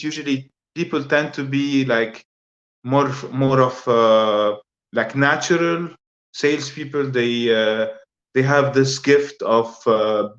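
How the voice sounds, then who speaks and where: A man in his thirties from Lebanon talks through his answers at an easy, halting pace, stacking fillers and repeating words as he searches for the right one. His voice sits at an ordinary pitch, and the recording is slightly muffled and lo-fi. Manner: relaxed, hesitant, many fillers and repeated words. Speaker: guest. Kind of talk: casual interview chat. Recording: slightly dull lo-fi audio.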